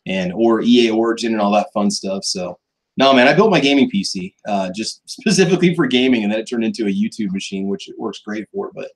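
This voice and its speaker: Husky low-voiced man chatting casually. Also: animated, fast. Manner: laid-back, easygoing, laughs often, trails off. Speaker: co-host of a live food review stream. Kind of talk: casual live-stream chat.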